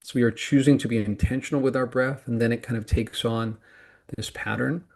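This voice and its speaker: A man guiding a meditation as a teacher, speaking calmly and deliberately in short phrases with pauses between them. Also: medium pitch, gravelly. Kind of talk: guided meditation instruction.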